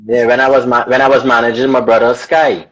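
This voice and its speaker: A man from Trinidad with a Trinidadian accent, an artist manager speaking in a relaxed, slow way. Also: medium pitch, lo-fi sound, crowd noise. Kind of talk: casual interview chat.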